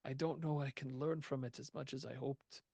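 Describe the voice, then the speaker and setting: A man voicing a haunted male mystic who talks in a slow, halting, flat way. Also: mid-range voice, creaky, no echo.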